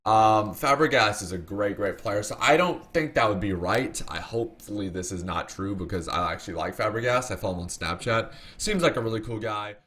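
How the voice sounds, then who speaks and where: A man's voice: fairly low pitch, a little fast, few pauses, a bit bright. A man appearing as a guest, giving casual soccer opinions in a rambling way, repeating words and leaning on fillers. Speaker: guest YouTuber.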